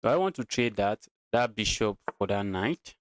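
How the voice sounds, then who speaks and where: A man's voice: lowish voice, animated, normal speed, dry recording, studio quality. A man from Nigeria with a Nigerian accent, giving casual live commentary on his own game as a streamer, thinking aloud, repeating phrases and chatting to viewers. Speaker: chess streamer.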